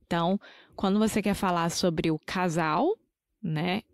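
A woman's voice: lowish voice, unhurried, no echo, clean studio sound. A Brazilian woman co-hosting a casual podcast that teaches Portuguese, who laughs often and pronounces words carefully. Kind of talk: casual language-teaching chat.

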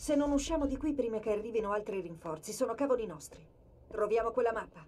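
A woman playing a sharp, analytical military artificial intelligence in a dubbed sci-fi video game, brisk and commanding. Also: fairly high pitch, close to an everyday voice. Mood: analytical, assured.

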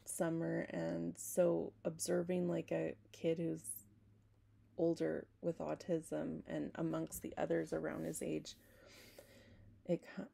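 Hoarse, gravelly young woman vlogging. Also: low voice, completely flat delivery, slow pace, mellow. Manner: rambling, self-interrupting, frequent "like". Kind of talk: casual talk to camera. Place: small home room, indoors.

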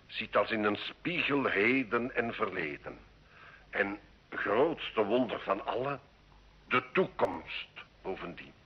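Slow, deep, husky sly alchemist. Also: male voice. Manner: slow, measured, deferential yet sardonic. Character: sly, learned medieval alchemist, courtly servant of Satan. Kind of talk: old Dutch radio drama acting. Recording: dull old radio drama recording.

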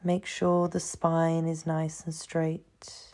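Slow, low-voiced woman guiding meditation. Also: completely flat delivery. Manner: soothing, hushed, unhurried with long pauses. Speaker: meditation guide. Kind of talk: guided meditation, slow scripted narration.

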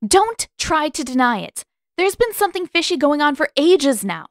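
A woman's voice: high voice, sing-song, moderate pace, no echo, clean studio sound, voice pushed up. A woman voicing a fiery, indignant teenage girl who speaks sharply and accusingly in a storybook reading.

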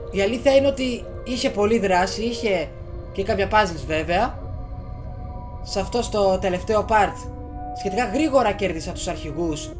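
A young adult man giving casual let's play commentary as host of a gaming video. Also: high-pitched. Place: small bedroom. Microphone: desk USB microphone, headset worn. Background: game music.

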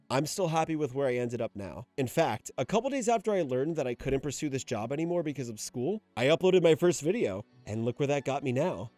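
A young man giving casual video-essay commentary, animated and sometimes ranting, sometimes sentimental. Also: medium pitch, no echo. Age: young adult.

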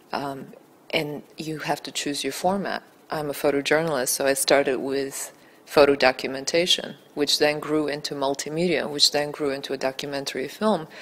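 Earnest low-voiced woman film-maker. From Bulgaria. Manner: earnest, articulate, building emphasis. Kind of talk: interview answers. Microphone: handheld mic held by interviewer.

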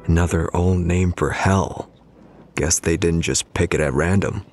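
An actor playing a dutiful military infantry captain in a video game, formal and commanding. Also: male voice, deep voice, a little slow, darkish tone, soft background music. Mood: wary, firm.

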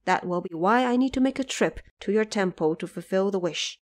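Hushed, anxious noble mother for an audio drama, played by a woman. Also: mid-range voice, expressive, brisk, natural voice. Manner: hushed, urgent.

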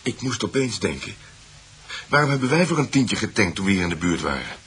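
A man's voice: fairly low pitch, sing-song, brisk, fairly bright tone. A man playing a resolute, inquisitive husband in a radio drama, questioning firmly. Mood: pensive. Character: resolute, inquisitive husband investigating a sinister mystery.

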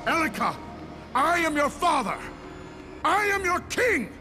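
A man's voice: very high voice, sing-song, measured pace, heavily acted. Imperious, anguished king, dramatic swings. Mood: anguished, commanding. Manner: grave, dramatic, formal. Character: anguished, imperious middle-aged king and father. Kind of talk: video game cutscene acting. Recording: music behind. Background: game music.

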